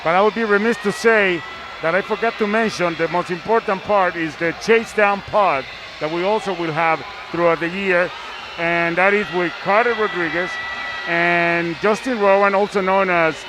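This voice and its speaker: A man hosting a casual sports panel, speaking in deliberate, halting phrases. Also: high-pitched, fairly even intonation. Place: large indoor arena. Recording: noisy arena ambience behind.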